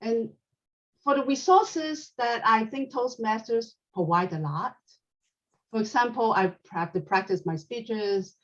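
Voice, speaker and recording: A woman whose first language is Chinese speaks in an earnest, slightly halting way. Her voice comes from some distance away from the mic. The sound is dull and muffled. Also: fairly high pitch. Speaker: panel speaker. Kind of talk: prepared talk at a club panel.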